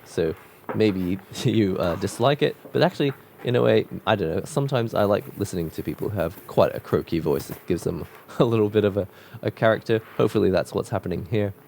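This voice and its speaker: A man with a cold-croaked voice talking casually and reflectively while drawing, musing with loose restarts. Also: fairly low pitch, a little fast, darkish tone, slight background noise. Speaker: art vlogger drawing on camera.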